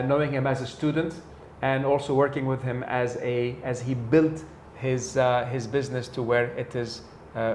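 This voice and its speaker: Man, interview host, gravelly, roomy echo, faint background hum. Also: mid-range voice. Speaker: interview host and sales trainer. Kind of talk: conversational interview. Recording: echoey room, faint ambience.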